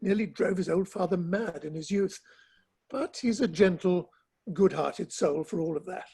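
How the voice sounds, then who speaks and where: Man playing a high, raspy Victorian gentleman. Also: moderate pace, exaggerated voice. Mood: amused. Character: gossipy, bemused Victorian literary gentleman, clipped and droll. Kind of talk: dramatic play reading over the internet.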